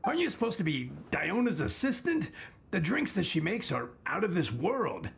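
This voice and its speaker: A man's voice: sits high, wildly swooping pitch, moderate pace, gravelly, heavily acted. An actor playing an opinionated, effusive old male tavern drinker in an anime game, theatrical and exaggerated. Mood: critical.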